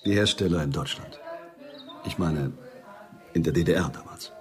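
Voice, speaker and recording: A man's voice: deep voice, moderate pace, natural voice. An actor playing an uneasy older man who hesitantly confesses an old secret in a radio drama. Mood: uneasy, confessing.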